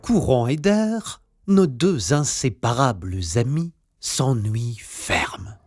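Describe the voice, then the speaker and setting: A man reading a scripted children's story as an expressive narrator. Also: medium pitch, slow pace, over music.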